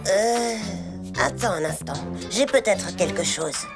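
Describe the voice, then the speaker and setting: A woman's voice: mid-range voice, lively intonation, takes it slowly. An actress playing a young female pony, cool-headed, dry-humoured and a little sheepish, in a French cartoon dub. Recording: music behind the voice.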